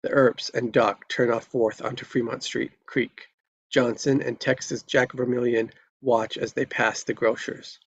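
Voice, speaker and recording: Gravelly man narrating screenplay directions. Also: mid-range voice, level tone, unhurried, muffled recording. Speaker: narrator reading stage directions. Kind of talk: scripted narration at a live table read.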